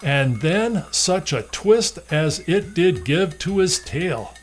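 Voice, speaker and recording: A man reading a children's storybook aloud as an expressive storyteller. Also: a little high, slow.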